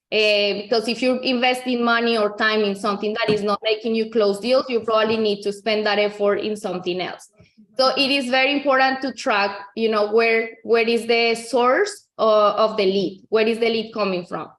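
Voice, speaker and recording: A woman from Colombia, a native Spanish speaker with a Colombian accent, giving an informal software tutorial in a conversational, explanatory way, often repeating herself. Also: fairly high pitch. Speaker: real estate agent giving a software tutorial. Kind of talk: informal screen-share tutorial.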